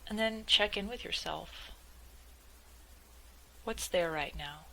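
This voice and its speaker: A woman leading a guided meditation in a measured, gently instructive way, as calm spoken instruction. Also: on the low side, vocal fry, slight background noise, poor audio.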